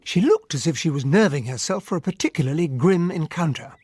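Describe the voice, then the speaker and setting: A man playing a genial, gentlemanly English army captain in a radio drama, well-spoken and polite, with an English accent. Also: a little high, animated, fairly quick, slight background noise, natural voice. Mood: reflective narration. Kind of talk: radio drama acting and first-person narration.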